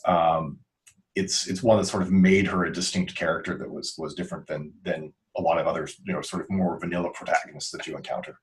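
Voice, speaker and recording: Very low-voiced man chatting casually. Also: fairly even intonation, a little fast, low-quality recording. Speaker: podcast crew member and author. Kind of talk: casual podcast chat.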